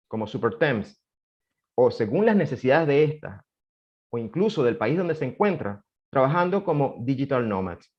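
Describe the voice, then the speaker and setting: A man's voice: fairly high pitch, muffled recording. A man acting as moderator of a panel, reading a formal prepared introduction. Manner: formal, measured delivery.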